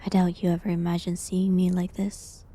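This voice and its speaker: A woman playing a gentle, sorrowful village herbalist condemned as a witch, speaking in a hushed, halting way in a dramatic audio roleplay. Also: lowish voice, monotone, close to an everyday voice. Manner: hushed, breathy, halting delivery.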